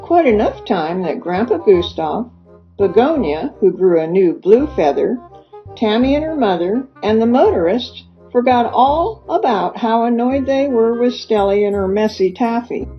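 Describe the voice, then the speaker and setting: Unhurried woman reading a storybook, dull sound. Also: a little high, very animated. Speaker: children's author reading aloud. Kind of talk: scripted storybook narration. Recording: dull lo-fi recording with music behind. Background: music.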